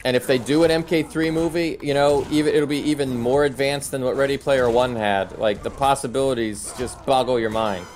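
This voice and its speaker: A man's voice: mid-range voice, steady tone, a little fast, hardly any pauses, slight background noise. A middle-aged man hosting a live stream, chatting casually and giving his opinions as he answers viewer comments. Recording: music playing behind the voice. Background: background music.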